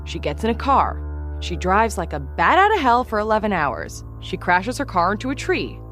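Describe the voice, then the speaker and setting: Lively, composed podcast host. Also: female voice, mid-range voice, normal speed, faint music behind. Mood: measured, serious. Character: earnest, prim female investigative podcast host. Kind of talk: scripted true-crime parody narration.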